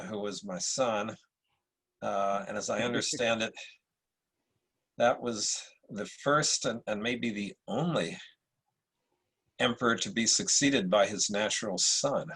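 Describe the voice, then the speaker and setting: Gravelly, husky older American man, low-pitched. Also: measured pace, long pauses, a bit bright, low-quality recording. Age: middle-aged or older. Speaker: actor. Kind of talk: casual self-introduction.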